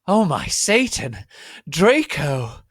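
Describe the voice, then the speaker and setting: A man's voice: a little high, wildly swooping pitch, slow pace, a bit bright, husky, no room sound, studio quality, voice pushed up, exaggerated voice. A man voicing a melodramatic, overwrought teenage goth girl in a story reading. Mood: ecstatic screaming. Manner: overwrought, exclaiming.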